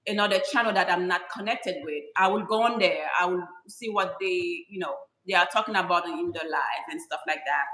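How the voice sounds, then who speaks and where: A woman's voice: fairly low pitch, fairly quick, few pauses, bright, forceful tone. A woman from Haiti, a guest YouTuber, chatting casually in a rambling way full of fillers over a video call. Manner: rambling, full of "like" and "you know". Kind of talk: casual live-stream chat. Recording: echoey room with faint hiss.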